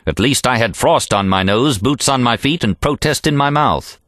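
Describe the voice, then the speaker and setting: A man voicing a pompous, sharp-tongued male poet in an audiobook, declamatory, sneering and boastful. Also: mid-range voice, lively intonation, moderate pace, no echo, clean studio sound, voice pushed up, lightly acted. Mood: boastful, sardonic. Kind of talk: audiobook character reading.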